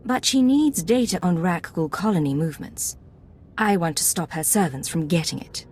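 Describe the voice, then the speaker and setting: A woman playing a composed undercover Imperial agent in a video game, measured and businesslike. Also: lowish voice, animated, a little mellow, breathy edge. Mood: cool, businesslike.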